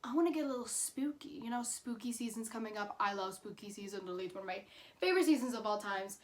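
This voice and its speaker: A woman's voice: a little high, expressive, fast. A woman in her twenties telling a personal story casually, in a chatty, informal way with dramatic emphasis. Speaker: YouTube storyteller.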